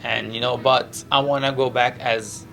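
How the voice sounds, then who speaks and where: Gravelly Moroccan-accented male fighter. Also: mid-range voice, little pitch movement, normal speed, crowd noise. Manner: earnest, repetitive, non-native English with frequent 'you know'. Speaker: professional MMA fighter. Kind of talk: press interview, casual chat.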